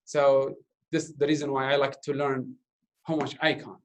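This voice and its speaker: A man in his thirties, a native Arabic speaker from Iraq, answering interview questions in halting English full of fillers and restarts. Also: mid-range voice, level tone, a little hiss or hum. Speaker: interview guest, doctoral student. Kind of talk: casual Q&A talk.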